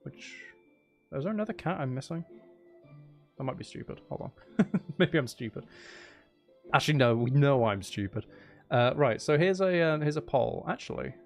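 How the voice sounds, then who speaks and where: A man with a mid-pitched voice hosts a casual gaming livestream over faint music. His intonation swings widely as he banters with viewers. He is playful and mock-dramatic, often poking fun at himself. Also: lively intonation, normal speed, gravelly, pauses often. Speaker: streamer hosting a live game stream.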